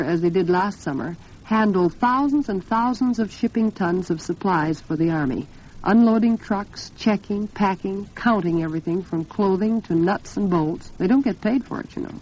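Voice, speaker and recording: Low-voiced American woman on 1940s radio. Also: a little mellow. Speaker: radio host. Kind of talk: 1940s radio talk with scripted sponsor chat. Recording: dull, band-limited 1940s radio recording.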